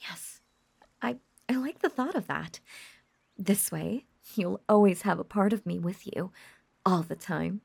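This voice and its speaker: A woman playing a lovesick, obsessive witch in an intimate roleplay audio drama, cooing in a breathy, husky half-whisper with lingering pauses. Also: mid-range voice, a little mellow, no echo, heavily acted. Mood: lovestruck, giddy. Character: lovesick obsessive young witch, possessive, teasing and doting.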